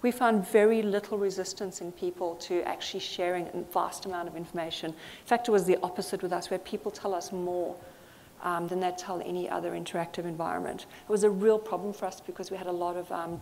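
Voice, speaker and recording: A woman's voice: lowish voice, fairly even intonation, brisk, darkish tone. A woman from Zambia giving an earnest, thoughtful conference talk, with frequent fillers. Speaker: conference speaker. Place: conference hall. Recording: reverberant hall with faint noise.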